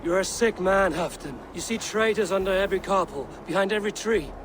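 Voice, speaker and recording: An actor playing a blunt, defiant Viking warrior in a video game, with a scornful way of speaking. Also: male voice, high-pitched, fairly quick, noisy background, natural voice. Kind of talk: dramatic video game acting. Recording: clear outdoor ambience behind voice.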